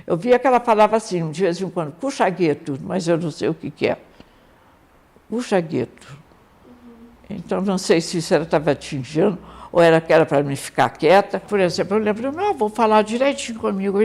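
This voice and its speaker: A woman's voice: mid-range voice, lively intonation, a little fast. A woman recalling her grandparents in a storytelling way. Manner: storytelling, imitates relatives. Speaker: interviewee. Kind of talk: casual recollection.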